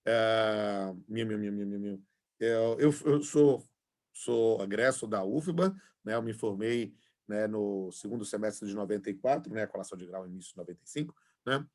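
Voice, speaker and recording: A man's voice: lowish voice, moderate pace, darkish tone. A man in his forties with a Bahian accent, a law professor chatting informally to introduce himself to an online class over a video call, with many fillers. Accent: Bahian Portuguese. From Salvador, Bahia, Brazil. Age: 40s. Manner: chatty, filler-heavy, friendly. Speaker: law professor and labor judge.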